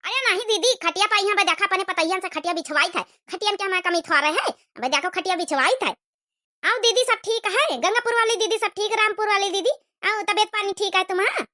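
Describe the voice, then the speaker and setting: A woman's voice: very high voice, a little fast, sharp tone. A woman voicing a bossy, fussing older village mother-in-law in a comic cartoon, with an Awadhi accent. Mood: excited. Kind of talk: exaggerated cartoon comedy dialogue.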